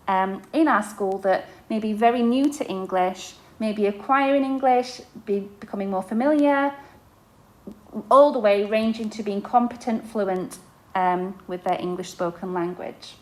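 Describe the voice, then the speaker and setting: A woman's voice: on the high side, moderate pace, rough recording. A young adult woman, an inclusion teacher, explaining to parents in a podcast-style talk, with frequent fillers and restarts.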